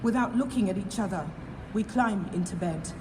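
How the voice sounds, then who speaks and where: A woman's voice: medium pitch, moderate pace. A woman from Egypt speaks earnestly and directly to a live audience, then reads poems aloud. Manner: earnest, direct speech before reading. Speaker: poetry reader and activist. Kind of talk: live public poetry reading. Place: large event hall. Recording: roomy hall with background noise.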